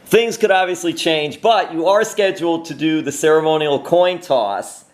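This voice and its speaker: A man's voice: on the high side, normal speed. A man hosting an interview, asking questions in an upbeat, enthusiastic way. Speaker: interview host. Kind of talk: casual interview.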